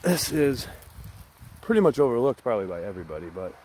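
A man narrating casually as he explores rocky woods, pointing things out with excitement while scrambling over rocks on a handheld camera. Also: medium pitch, quick pace. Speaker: vlogger exploring outdoors. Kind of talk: casual handheld walking commentary. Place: outdoors in rocky woodland. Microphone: handheld action camera. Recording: outdoor camera audio with clear wind and rustling.

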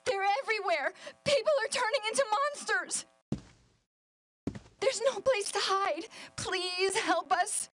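A woman's voice: very high-pitched, unhurried. An actress playing a terrified young female civilian in a video game, pleading in panic over background noise. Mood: panicked, pleading.

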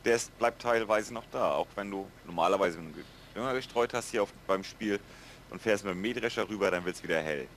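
A man with a northern German accent chatting calmly while co-playing a farming game, explaining things plainly. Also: fairly low pitch, fairly quick, slight background noise. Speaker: co-player. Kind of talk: casual gaming chat.

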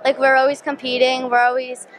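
A woman's voice: high voice, level tone, fairly quick, a faint crowd, slight background noise. A young woman from the United States, a dancer, talking chattily into a street reporter's mic at a festival. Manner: chatty, lots of "like". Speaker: dancer interviewee. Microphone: handheld reporter mic.

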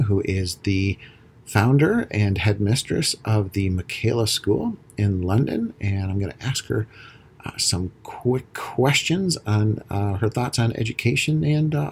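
A man's voice: medium pitch, creaky, husky. An American man hosting an interview podcast, chatty and friendly with lots of fillers. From United States. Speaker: podcast host.

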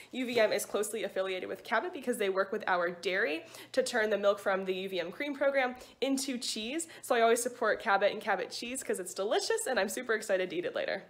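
A woman's voice: mid-range voice, fairly bright tone. A young woman chatting casually and enthusiastically to her phone camera as a student vlogger. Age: young adult. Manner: upbeat, enthusiastic. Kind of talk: casual vlog talk to camera. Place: small kitchen, gas station, car and outdoor trail. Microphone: phone at arm's length. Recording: faint background noise.

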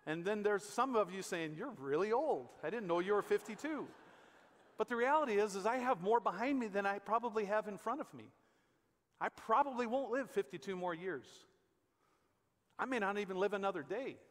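A man's voice: high-pitched, animated, brisk. An American man in his fifties, a pastor preaching conversationally and emphatically from the stage of a large church auditorium. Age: 50s. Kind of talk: conversational sermon. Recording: echoey hall with long reverb.

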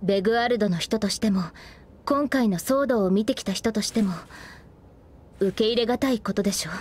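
A woman's voice: medium pitch, fairly quick, a little mellow, lightly acted. A woman voicing a friendly young female officer of a space federation in a game cutscene, explaining things politely and earnestly. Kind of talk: video game cutscene voice acting.

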